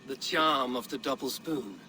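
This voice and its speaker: Game role: blunt, honor-bound Norse fighter, forceful, mid-pitched, terse. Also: male voice, a little slow, a little hiss or hum. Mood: composed, formal. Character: proud, blunt Norse warrior seeking to regain honor. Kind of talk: dramatic video game acting.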